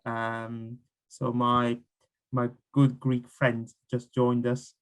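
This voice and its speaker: A man chairing an online conference, thanking a speaker in a halting way. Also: medium pitch, completely flat delivery, smooth. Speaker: session chair.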